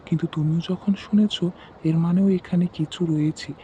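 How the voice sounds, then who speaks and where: A man's voice: on the high side, steady tone, a little fast, warm tone, highs cut off, slight background noise, natural voice. A man voicing an earnest, anxious husband in a horror cartoon. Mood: worried. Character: anxious, earnest husband moving into a new house.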